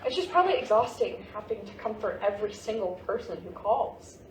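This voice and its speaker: A woman acting a grieving seventeen-year-old daughter in a staged play reading, earnest and gently prodding. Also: mid-range voice, animated, fairly quick, lightly acted. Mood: matter-of-fact. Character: Ivy, grieving seventeen-year-old half-Japanese American girl, practical daughter. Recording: roomy, distant mic, faint noise.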